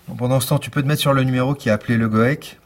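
An actor playing a weary, sardonic police lieutenant with dry, deadpan delivery in a naturalistic fiction podcast. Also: male voice, medium pitch, rapid-fire, natural voice. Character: weary sardonic male police lieutenant, coffee-fuelled pessimist.

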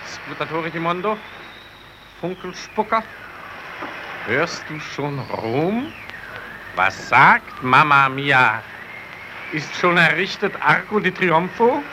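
A man playing a gruff Italian polar explorer in a radio drama. He speaks slowly at a middling pitch, grumbling and needling his comrade with oaths. The recording is clearly noisy. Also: close to an everyday voice. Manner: grumbling, teasing, peppering talk with Italian oaths. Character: gruff, cursing Italian expedition comrade stranded on ice. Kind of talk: German radio play acting.

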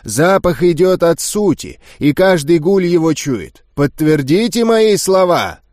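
A man's voice: fairly high pitch, very animated, darkish tone, dry recording, voice pushed up. A man voicing a stern ghoul elder who speaks dryly and solemnly. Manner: dry, slow, solemn.

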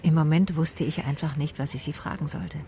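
A female private detective in a radio play, with a low voice and faint noise behind her. She is observant and reflective, speaking in measured, matter-of-fact phrases. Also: fairly even intonation, warm tone. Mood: pensive. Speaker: actor and narrator in a radio play. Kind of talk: first-person radio drama narration and dialogue.

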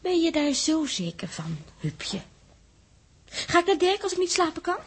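A woman's voice: very high voice, big pitch swings, measured pace, exaggerated voice. An actress playing an anxious, teasing young woman on a spaceship crew, speaking hesitantly in a 1960s Dutch radio drama. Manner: hesitant, breaking off and repeating words.

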